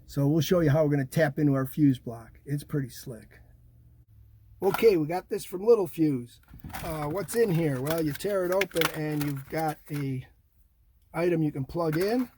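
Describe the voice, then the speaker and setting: A man's voice: fairly high pitch, normal speed, background noise. An older man giving a casual hands-on install demonstration in short, folksy, punchy phrases, recorded inside a vehicle. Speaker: DIY vlog host. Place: inside a parked Jeep.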